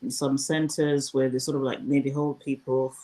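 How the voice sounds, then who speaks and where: A woman, a former news presenter talking through her career at a thoughtful, measured pace in a casual interview. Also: extremely low pitch, flat delivery, warm tone, low-quality recording. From Zimbabwe.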